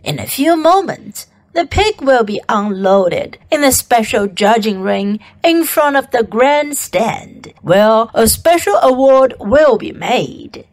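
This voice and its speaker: Pompous, slow fairground announcer acted by a woman. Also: fairly high pitch, very animated, full character voice. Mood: pompous, proclaiming. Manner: pompous, formal, proclamatory. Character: pompous fairground loudspeaker announcer, booming, formal, grandly self-important. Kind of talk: storybook character voice.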